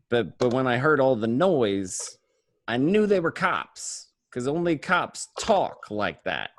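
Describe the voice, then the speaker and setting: A man's voice: mid-range voice, big pitch swings, slow, no echo, clean studio sound. An actor playing a hot-headed, anxious gangster in a radio play, telling his story in profane, stammering bursts. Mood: resigned recounting. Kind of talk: first-person crime narration and dialogue, radio play.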